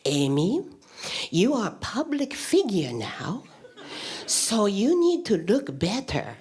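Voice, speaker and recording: Woman doing a blunt, slow-talking immigrant mother. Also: mid-range voice, slight background noise. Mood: bossy. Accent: foreign-accented English. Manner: slow, clipped broken English, deadpan.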